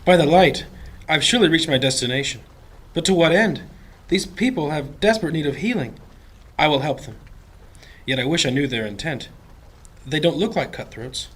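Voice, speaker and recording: Humble village priest for fantasy audio drama: higher voice, devout, courteous, easily flustered. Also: male voice, expressive, moderate pace, pauses often, breathy edge. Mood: earnest, concerned. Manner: flustered, formal, deferential.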